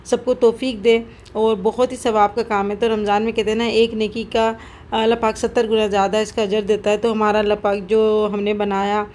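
A woman's voice: mid-range voice, flat delivery, fairly quick, a little mellow, slight background noise. A middle-aged woman, chatting casually as she cooks in her home kitchen and explains each step with lots of fillers. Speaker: cooking vlogger. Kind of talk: casual cooking narration. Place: home kitchen, then rooftop.

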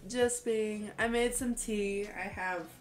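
A woman's voice: mid-range voice, reverberant, faint music behind. A woman in her late twenties, slightly congested from a cold, talking candidly to camera in her bedroom, earnest and laughing often. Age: late 20s. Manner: earnest, expressive, laughs often, slow unhurried talk. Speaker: vlogger. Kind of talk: candid personal chat to camera. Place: bedroom with hanging lights, indoors.

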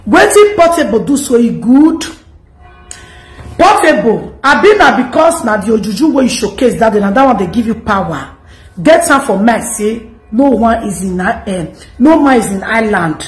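A Nigerian woman in her thirties hosting a gossip commentary, talking to camera in an animated, scolding way. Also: on the high side, reverberant. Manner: animated, scolding, mixes pidgin and English.

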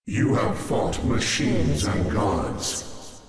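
Ancient cosmic void, video game villain, low voice, very slow, cold contempt, solemn, ominous. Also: male voice, animated, full character voice. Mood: grand, cold. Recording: distant voice in long cavernous reverb.

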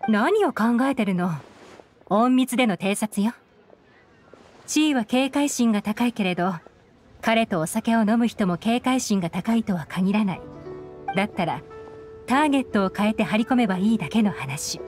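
A woman's voice: medium pitch, animated, moderate pace, a little mellow, lightly acted. A woman playing a composed, shrewd young intelligence chief who speaks with authority in an anime game. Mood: commanding. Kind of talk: Japanese anime game voice acting. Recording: game music behind.

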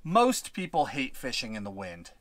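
A man's voice: medium pitch, wildly swooping pitch, moderate pace, a bit bright, no room sound. A young man giving fishing tips outdoors on a windy lakeshore, talking in an instructive, upbeat way into a head-mounted camera. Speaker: fishing vlogger. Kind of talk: outdoor tutorial vlog. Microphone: head-mounted action camera.

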